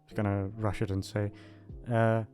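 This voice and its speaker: A man's voice: lowish voice, little pitch movement, moderate pace, darkish tone, soft background music. A man chatting casually to his listeners in a hesitant, self-deprecating, aimless ramble. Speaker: voice actor signing off to listeners. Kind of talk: casual rambling outro chat.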